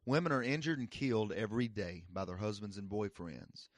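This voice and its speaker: A man's voice: on the low side, expressive, a little fast, dry recording. A man reading a scripted public service announcement as an earnest, direct appeal.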